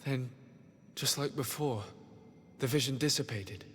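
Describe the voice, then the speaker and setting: An actor voicing a courteous, gentle young male diplomat in a video game, speaking in a formal, measured way. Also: mid-range voice, long reverb tail, a little hiss or hum, lightly acted. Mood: dreamy, grave.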